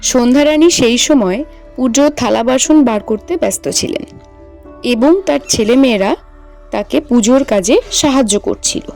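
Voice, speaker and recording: A woman's voice: on the high side, moderate pace, creaky, darkish tone, faint music behind. A woman narrator reading a scripted children's story.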